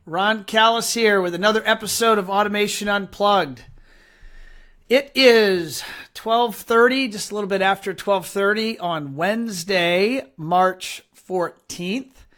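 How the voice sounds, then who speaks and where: A man hosting a live-streamed interview show, chatty and upbeat with many fillers, his voice raspy and hoarse from allergies. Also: high voice, unhurried, a bit bright. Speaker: host.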